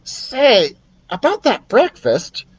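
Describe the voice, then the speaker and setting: Man putting on an excitable, high-pitched little boy mouse. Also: slow pace, breathy edge, lo-fi sound, heavily acted. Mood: eager. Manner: excitable, earnest. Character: eager, impulsive young mouse, male.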